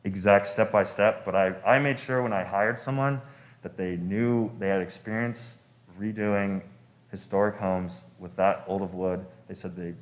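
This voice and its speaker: Young male homeowner, low voice, addressing a board politely, a little unsure, plain informal delivery. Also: fairly even intonation, unhurried. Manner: polite, deferential, hesitant. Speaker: homeowner applicant at a public hearing. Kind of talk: informal public testimony. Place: public meeting room.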